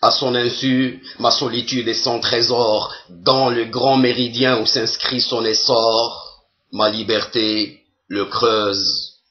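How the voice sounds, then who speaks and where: A man giving a solemn poetry recitation in a measured, deliberate way, pausing between phrases. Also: medium pitch, little pitch movement, dull audio.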